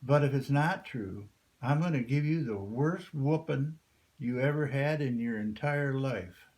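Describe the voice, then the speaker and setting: A man voicing a scornful, threatening slave owner in a folktale, gruff and dismissive. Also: mid-range voice, unhurried, darkish tone, natural voice.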